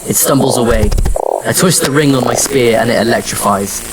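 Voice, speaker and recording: A man with a medium-pitched voice narrates a scripted first-person drama. His storytelling is measured and serious, and there is clear background noise with insect-like sounds. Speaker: narrator and lead actor.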